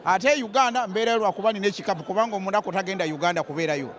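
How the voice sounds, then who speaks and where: A man's voice: sits high, rapid-fire, a bit bright. A man being interviewed outdoors at an event, talking in a boastful, playful way. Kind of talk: joking casual interview.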